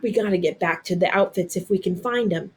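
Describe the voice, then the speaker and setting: A man's voice: high-pitched, fairly quick. Lady reading as a young soldier lad in a storybook, folksy country talk, kind n determined. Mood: earnest, determined. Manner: rural dialect, folksy phrasing. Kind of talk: storybook read-aloud character.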